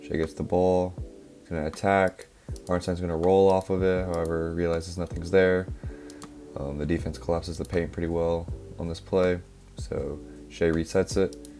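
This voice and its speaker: A young man, a little hoarse from a cough, casually breaking down basketball plays with lots of fillers. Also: low voice. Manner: relaxed, filler-heavy, explaining step by step. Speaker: basketball analysis creator. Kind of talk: casual play-by-play film breakdown. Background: background music.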